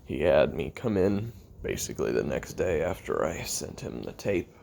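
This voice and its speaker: Man acting a very deep, gravelly, deadpan assassin. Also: slight background noise, full character voice. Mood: dry, sardonic. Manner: halting, deadpan, dryly self-deprecating. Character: weary, sardonic male assassin recording taped memoirs. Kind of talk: confessional audio roleplay monologue.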